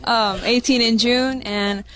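A woman's voice: fairly high pitch, fairly even intonation, slow, a bit bright, slight background noise, low-quality recording. Giggly seventeen-year-old girl. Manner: giggly, flustered. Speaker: graduating student guest.

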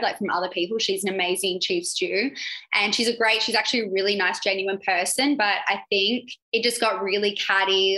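A woman's voice: mid-range voice, sharp tone, low-quality recording. A young woman chatting as an interview guest, talking casually with many fillers. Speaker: guest, yacht crew member.

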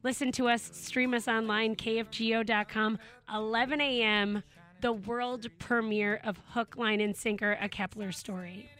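A woman's voice: on the high side, fairly even intonation, moderate pace, a bit bright. A woman from North Dakota, bantering playfully as a radio host in a studio. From North Dakota, USA.

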